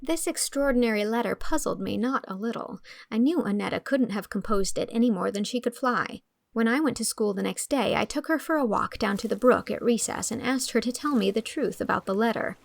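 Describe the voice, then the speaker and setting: A woman's voice: on the high side, brisk, creaky, no echo. A woman narrating a storybook in the first person, in an acted style.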